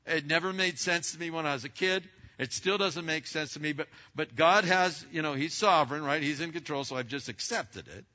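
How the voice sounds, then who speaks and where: A man's voice: on the high side, brisk, few pauses. Big-voiced preacher man, lo-fi. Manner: loud and emphatic, with many stammered restarts. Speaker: pastor. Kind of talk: sermon to a congregation. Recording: lo-fi recording.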